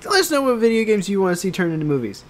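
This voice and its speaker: A man's voice: sits high, sing-song, rapid-fire. A man co-hosting a casual podcast chat, rambling and hedging, with "like" peppered through his sentences. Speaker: podcast co-host. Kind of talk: casual chat about games and films.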